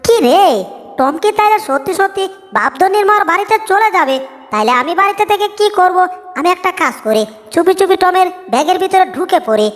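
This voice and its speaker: A woman's voice: very high voice, animated, fairly quick. A woman voicing a small, cheeky cartoon mouse with a chirpy, exclaiming manner. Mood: sneaky. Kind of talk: Bengali cartoon dub comedy. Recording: long hall-like reverb.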